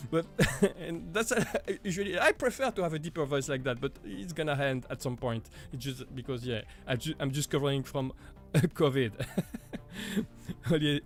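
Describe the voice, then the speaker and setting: A man with a high voice for a man hosts a relaxed live stream Q&A, answering viewers one by one. He talks in a halting, chatty way, scattering small laughs and filler phrases as he goes. His voice sits deeper than his usual while he gets over an illness, with faint music in the background. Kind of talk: casual live Q&A chat.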